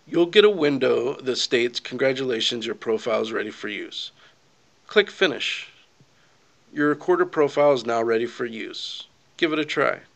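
A man with a medium-pitched voice narrates a software tutorial from a script. He gives instructions step by step in a clear, orderly way, as a voice-over for a screen recording.

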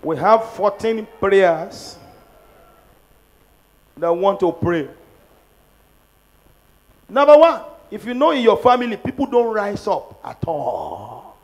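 A middle-aged man with a Nigerian accent leading prophetic prayers, shouting declarations into a handheld microphone in a large church. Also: high voice, sing-song, takes it slowly, pauses often, low-quality recording. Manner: fervent, shouting declarations, repeating lines for the crowd. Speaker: pastor. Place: large church auditorium, on a stage at a pulpit.

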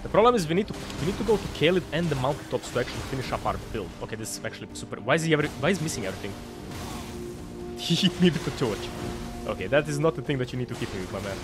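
A young man with a Croatian accent, excitedly commenting on his game live, swearing at bosses and chatting with viewers through a headset. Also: mid-range voice, very fast, music in the background. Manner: excitable, swears and laughs while fighting bosses. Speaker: game streamer. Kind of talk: live gameplay commentary reading chat. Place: home streaming room, indoors.

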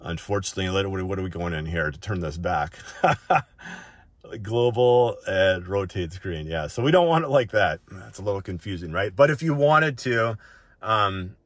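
Guy with a lowish voice doing a laid out settings tutorial, informal and off the cuff, dry recording. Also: lively intonation, normal speed, dry recording. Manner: informal, often ends points with a checking "right?". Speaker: tech reviewer presenting a settings walk-through. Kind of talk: casual unscripted tutorial.

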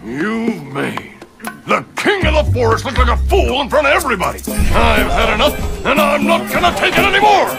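An actor playing a big, proud, hot-tempered bear in a dubbed cartoon, blustering and whining in a gravelly, indignant voice. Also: male voice, very high voice, sing-song, normal speed, exaggerated voice. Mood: furious. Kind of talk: exaggerated dubbed cartoon acting. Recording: loud music over the voice.

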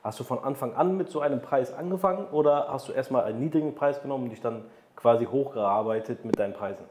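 A man's voice: medium pitch, fast, reverberant, background noise. A man in his thirties hosting an interview podcast, asking questions and driving home motivational points with emphasis. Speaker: podcast host. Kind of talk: interview conversation. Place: studio room with city view. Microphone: desk microphone on boom arm.

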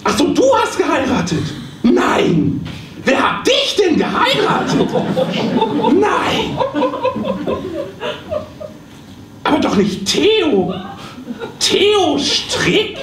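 Guy doing a really shrill flustered receptionist lady, swoopy, gossipy, comic stage play, echoey and far off. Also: wildly swooping pitch, slow pace, very noisy, full character voice. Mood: appalled. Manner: exclaiming, flustered, dithering. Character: scatty, gossipy, shrill female receptionist on the phone. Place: small theatre stage.

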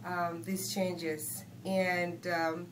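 Lowish-voiced Guatemalan woman, earnest and halting. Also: flat delivery, a little hiss or hum, low-quality recording. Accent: Guatemalan Spanish. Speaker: shaman and healer, guest. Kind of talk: informal spiritual talk. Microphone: phone handed over.

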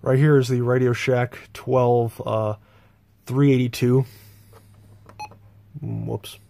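An American man with a slightly gravelly voice giving a casual, halting, rambling video tour indoors on a handheld phone. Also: medium pitch, flat delivery, dark tone. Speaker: host, hobbyist collector. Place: small bedroom, indoors. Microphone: handheld phone, speaker behind the camera.

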